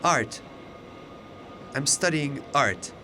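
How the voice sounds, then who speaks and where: A man's voice: fairly high pitch, lively intonation, extremely slow, bright, forceful tone, slight background noise, heavily acted. A man playing a bewildered, skeptical young university student in an audio drama, questioning and incredulous. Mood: puzzled, wary.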